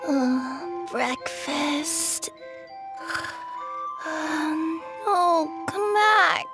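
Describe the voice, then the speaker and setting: A drowsy young game heroine murmuring as if asleep. Her high voice is slow and whimpering, hungry and creaky, with music behind. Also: animated, exaggerated voice. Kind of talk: video game character acting.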